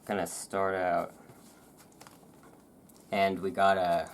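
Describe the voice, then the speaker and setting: Slow, low-pitched voice reading over rainstorm. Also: flat delivery. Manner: informal, self-deprecating, stumbles over foreign words. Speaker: host reading a magazine aloud. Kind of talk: relaxed ASMR-style reading with casual asides. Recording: clear background noise of rain and thunderstorm. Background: rain and thunderstorm ambience.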